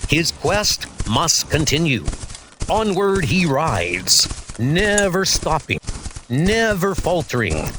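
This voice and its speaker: A man's voice: on the high side, big pitch swings, slow pace, fairly bright tone, slight background noise. A man reading dramatic scripted comic-book narration in a theatrical, suspenseful way.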